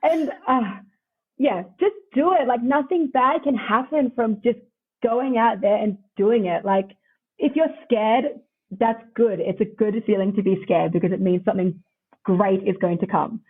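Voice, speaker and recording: A woman with a croaky voice, chatting upbeat as a podcast guest and laughing often between answers. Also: mid-range voice, unhurried. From Australia. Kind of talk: casual interview chat. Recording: tinny phone-like line.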